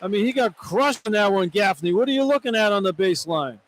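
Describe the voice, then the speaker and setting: A man's voice: high-pitched, animated, fast, a bit bright. A man giving live color commentary on a basketball radio broadcast, informal, blunt and opinionated. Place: broadcast position at an indoor arena. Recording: faint background noise, arena ambience. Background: faint arena noise.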